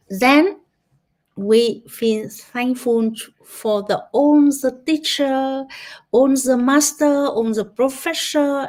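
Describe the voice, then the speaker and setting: Slow, gentle Vietnamese-accented woman. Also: a little high. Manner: gentle, halting, with searching restarts. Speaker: meditation guide. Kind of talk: guided gratitude meditation talk. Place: online session.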